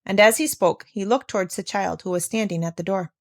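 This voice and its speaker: A woman's voice: mid-range voice, fairly quick, dry recording, studio quality. A woman narrating a classic children's story, reading aloud from a script. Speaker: audiobook narrator.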